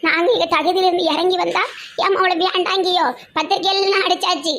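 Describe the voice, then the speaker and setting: A performer voicing a proud, sharp-tongued bride's mother who taunts in blunt rural speech. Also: very high voice, level tone, fairly quick. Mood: taunting.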